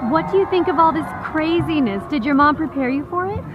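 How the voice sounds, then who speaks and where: An actress playing a gushing red carpet reporter in an audio drama. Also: female voice, high voice, fairly quick, over music, close to an everyday voice. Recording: dull sound with busy background.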